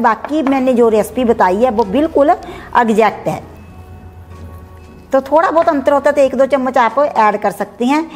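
Chatty woman teaching a home recipe. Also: sits high. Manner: chatty, explanatory, mixes English words. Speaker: cooking channel host. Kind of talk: home cooking demonstration.

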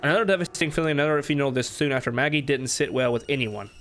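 A man's voice: medium pitch, racing, loud music behind the voice. A man in his twenties giving casual, slangy gameplay commentary and reading aloud, bantering as he plays. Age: 20s. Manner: informal, slangy, bantering with the game. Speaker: gamer, let's player.

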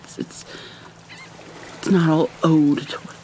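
A woman voicing a guarded, wounded vampire mermaid in an ASMR roleplay, speaking in a hesitant, halting, hushed and often breathy way. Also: deep voice, little pitch movement, a little hiss or hum, low-quality recording. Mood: halting, uneasy. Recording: rain and water sounds behind. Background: rain and pouring water.

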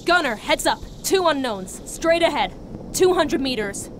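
Woman playing a steady, lively squad commander. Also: sits high, unhurried. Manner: steady, clipped orders. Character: calm female squad commander in an armored vehicle. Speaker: squad leader. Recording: noisy background.

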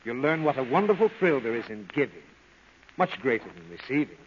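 A man's voice: medium pitch, very animated, brisk, close to an everyday voice. An actor playing an exasperated, headachy father, groaning and pleading in 1930s radio comedy style. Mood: earnest lecturing. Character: exasperated, suffering, headachy father of little girl. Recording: muffled, hissy old 1930s radio broadcast.

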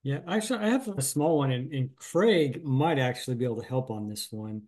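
A middle-aged man talks casually on a group coaching video call. His voice sits at an average pitch. He rambles a bit and pokes fun at himself as he explains how he runs his business. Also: lively intonation, a little fast, a little mellow. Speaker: painting business owner. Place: small room at home.

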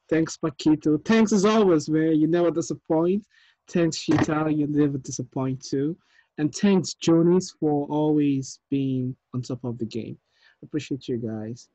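A man's voice: on the high side, measured pace, dark tone, no echo. A man in his thirties chatting casually as a film director on a video call. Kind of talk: casual chat. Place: room at home, video call. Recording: dull video call audio.

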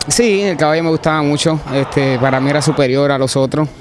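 A man's voice: on the high side, steady tone, a little fast, noisy background. A middle-aged man, a jockey giving brief, grateful answers outdoors at a racetrack on a handheld mic. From Puerto Rico. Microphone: handheld mic held by interviewer.

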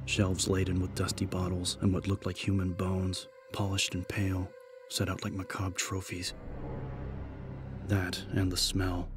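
Low, very monotone male audiobook narrator. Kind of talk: scripted horror story narration.

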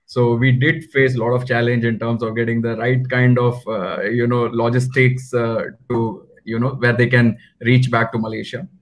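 A man's voice: mid-range voice, level tone, moderate pace, few pauses. A man with an Indian accent speaking as a retail panelist on a video call, setting out points step by step. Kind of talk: explanatory business panel talk. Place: indoor room on a video call.

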